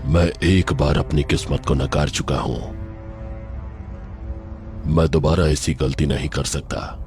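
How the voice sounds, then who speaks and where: A man playing a stern, resolute father figure in a grave, measured dramatic monologue. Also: very deep voice, fairly even intonation, a little mellow, faint music behind, voice pushed down. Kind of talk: dramatic film-style monologue.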